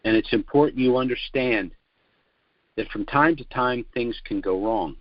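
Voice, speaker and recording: An older man giving a formal, deliberate sales presentation as the host of a financial conference call. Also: mid-range voice, no room sound. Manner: deliberate, formal, measured phrasing. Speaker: financial trust consultant hosting a conference call. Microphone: telephone conference call. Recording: muffled lo-fi conference call audio.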